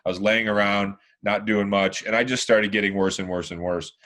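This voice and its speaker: Hoarse guy in his 40s, lowish voice, rambles on with lots of um and you know. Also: steady tone, brisk, a bit bright. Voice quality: deeper, hoarse voice. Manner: rambling, many fillers and restarts. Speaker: podcast guest. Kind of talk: casual chat.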